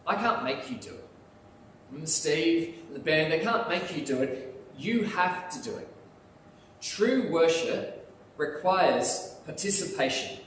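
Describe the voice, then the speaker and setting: A man preaching a sermon in a church sanctuary, speaking in an animated way with lots of emphasis. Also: a little high, slight background noise. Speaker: pastor. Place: church sanctuary, in front of altar. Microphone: lapel mic, filmed by far camera. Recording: echoey church hall from far off.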